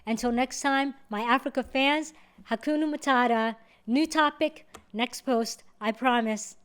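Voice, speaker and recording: A woman from Somalia, hosting a video and answering viewer questions in a measured, step-by-step way. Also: high-pitched, a bit bright, clean tone. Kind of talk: explanatory talk to camera.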